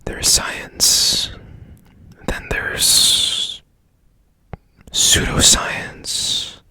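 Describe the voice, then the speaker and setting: A man playing a strange, secretive, tenderly curious repairman in an ASMR roleplay, in a breathy, halting whisper up close to the mic. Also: heavily acted. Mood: curious, musing. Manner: slow, halting, hushed, lingering on phrases.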